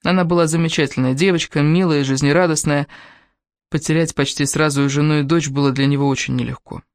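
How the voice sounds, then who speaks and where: A woman's voice: deep voice, steady tone, fast talker, darkish tone, no echo, clean studio sound. A woman reading a first-person novel aloud as scripted audiobook narration.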